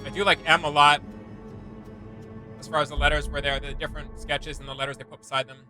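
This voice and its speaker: Male reaction host, slightly high bright voice, loose slangy commentary, faint music underneath. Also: level tone, fast talker. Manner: informal slangy, thinking aloud.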